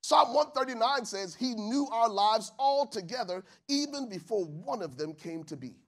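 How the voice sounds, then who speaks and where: A man from Liberia preaching a sermon to his church congregation, calling for responses and swinging from soft, low asides to shouted peaks. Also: animated, normal speed. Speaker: pastor. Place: church stage with live congregation.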